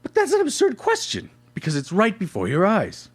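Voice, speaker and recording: A man's voice: high-pitched, wildly swooping pitch, brisk, slightly rough, exaggerated voice. An actor playing a pompous, thin-skinned male painter with florid, haughty diction in a live radio play. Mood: haughty, affronted.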